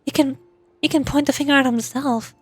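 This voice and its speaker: A woman streams a video game, reading its dialogue aloud as an amateur voice actor and commenting casually. Her voice is high, with faint music behind it. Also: steady tone, a little fast, a little mellow.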